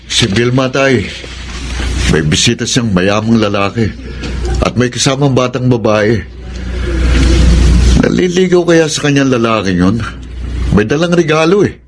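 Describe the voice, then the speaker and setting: An actor playing an obsessed, menacing drunkard son in a radio drama, with a leering, threatening delivery. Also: male voice, mid-range voice, animated, unhurried, long pauses, a little hiss or hum, close to an everyday voice. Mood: smitten, pleading.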